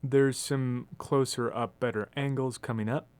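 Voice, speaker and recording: A man's voice: mid-range voice, steady tone, unhurried, a little mellow, clear, resonant tone, no room sound. A man who works as a recording engineer, casually narrating a hands-on demonstration in a studio, recorded on a phone. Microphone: phone video microphone.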